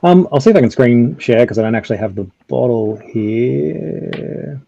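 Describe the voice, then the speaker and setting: Dark-voiced man over dull call audio. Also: mid-range voice, animated, normal speed, creaky, a little hiss or hum. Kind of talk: casual roundtable chat. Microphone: video call.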